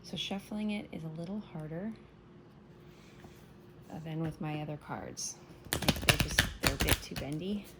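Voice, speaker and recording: A woman giving a casual morning tarot reading, soft-spoken and meandering, her voice still scratchy from waking up. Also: low voice, a little slow, pauses often. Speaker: tarot reader.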